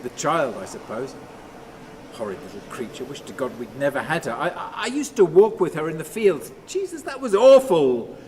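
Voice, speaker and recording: An actor playing a bitter, brooding man haunted by memories, rambling and halting in a radio play monologue. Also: a little high. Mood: exasperated. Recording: noisy, steady ambient sound behind.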